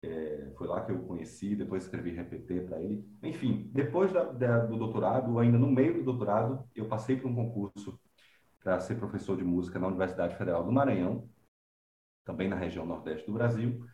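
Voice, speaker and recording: A man's voice: on the low side, expressive, brisk, dark tone, reverberant, lo-fi sound. A man from Bahia, a composer and professor talking unhurriedly in an interview, thinking aloud with many fillers. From Bahia, Brazil. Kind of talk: relaxed conversational interview.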